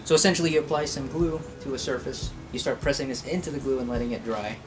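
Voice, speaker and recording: A man's voice: medium pitch, fast. Casual man hosting a craft vlog, music behind. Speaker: host of a craft and painting video. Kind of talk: casual chat, explaining a hobby project.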